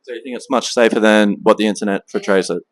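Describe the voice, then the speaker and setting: A man's voice: lowish voice, fairly even intonation, fast talker, no echo. A man conducting street interviews, asking short questions with some hesitant fillers. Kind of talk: street vox-pop interview.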